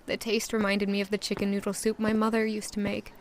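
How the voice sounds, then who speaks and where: An actress playing a proud, wistful young castle maid, narrating reflectively in the first person for an audio drama. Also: female voice, medium pitch, monotone, quick pace, a little hiss or hum, lightly acted. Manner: reflective, descriptive.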